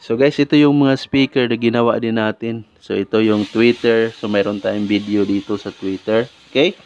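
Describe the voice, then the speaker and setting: A man's voice: on the low side, steady tone, normal speed, darkish tone. A Filipino man giving a casual, informal hands-on demonstration as a DIY vlogger, often addressing his viewers. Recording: dull lo-fi audio with background music.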